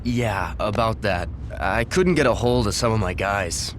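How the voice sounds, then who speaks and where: A man's voice: lowish voice, a little slow, background noise, lightly acted. An actor playing a nervous, defensive young male DJ in a video game, flustered and stammering. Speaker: DJ gang member.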